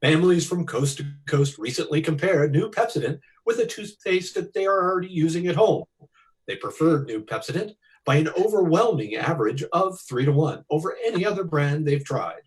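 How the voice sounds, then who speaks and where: A man's voice: fairly high pitch, animated, normal speed, distant mic, poor audio. A man announcing and reading sponsor spots in the style of a 1940s radio show.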